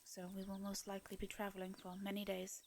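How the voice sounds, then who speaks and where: Woman acting as a gentle vampire lady, english accent, soft breathy formal talk, wistful, fantasy roleplay audio, bit of background noise. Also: medium pitch, completely flat delivery, lightly acted. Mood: earnest, wistful. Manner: soft breathy delivery, formal old-fashioned diction, hesitant pauses. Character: gentle, courteous female vampire, centuries-worn, wistful yet devious.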